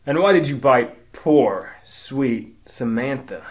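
Pompous, melodramatic male investigator, played by a man, stern questioning, lively intonation, comic radio play. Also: mid-range voice, takes it slowly. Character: self-important male investigator interrogating schoolchildren. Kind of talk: comedic radio drama acting.

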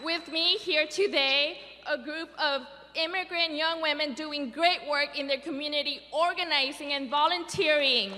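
High-voiced young woman emceeing on stage. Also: normal speed, hardly any pauses, a loud crowd. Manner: upbeat, greets the audience. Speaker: emcee introducing a speaker. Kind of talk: stage introduction. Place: large hall stage. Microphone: stand mic. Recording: stage mic in reverberant hall with audible room noise.

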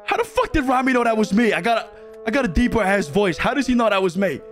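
A man chatting casually during roleplay, excitable, laughing and swearing. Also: high voice, fast talker, soft background music. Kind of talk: casual roleplay chat on a stream.

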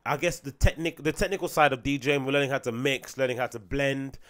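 A young man with a London accent, a bit raspy today, hosting a casual solo podcast from a small home room on a close boom mic, rambling with slang and swearing. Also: fairly even intonation, brisk, a bit bright. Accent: London English. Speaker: podcast host and DJ. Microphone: studio mic on boom arm close to mouth.

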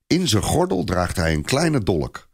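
A man's voice: fairly low pitch, sing-song, measured pace, gravelly, darkish tone, slightly rough. A man narrating a scripted story in a dramatic storytelling style.

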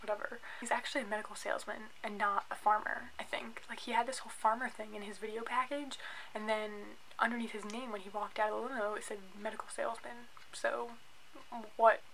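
A woman in her twenties with an American accent, chatting casually and giving opinions in an animated way as a vlogger. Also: on the high side, a little fast, vocal fry, slight background noise, low-quality recording. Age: 20s. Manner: opinionated, animated, filler-heavy with "like". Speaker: YouTube vlogger reviewing a reality show. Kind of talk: casual chatty vlog commentary. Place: small indoor room at home, by a bookshelf.

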